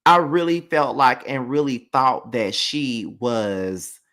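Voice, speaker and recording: A man in his thirties giving a casual, animated reality TV recap, slangy and full of swearing. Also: mid-range voice, slow. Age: 30s. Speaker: reality TV reviewer. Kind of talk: casual live recap commentary.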